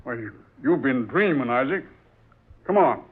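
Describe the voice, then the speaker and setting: Commanding sea captain, tinny recording. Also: male voice, mid-range voice, expressive, unhurried, breathy edge. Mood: skeptical, commanding. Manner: blustering, stammering repeats of words. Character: gruff, commanding, skeptical ship's captain. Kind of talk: old-time radio drama acting. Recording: tinny, narrow-band old radio recording.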